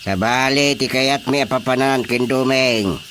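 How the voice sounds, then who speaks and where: An actor playing an easygoing, comic rural father in an Ilocano radio drama, with drawn-out phrasing. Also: medium pitch, a bit bright, a little hiss or hum, natural voice. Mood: matter-of-fact.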